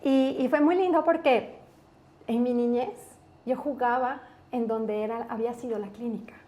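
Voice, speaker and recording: A woman with an Ecuadorian accent, a guest in an interview, telling personal stories in a relaxed, thinking-aloud way. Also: on the high side, moderate pace. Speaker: guest, company executive. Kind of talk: casual interview storytelling.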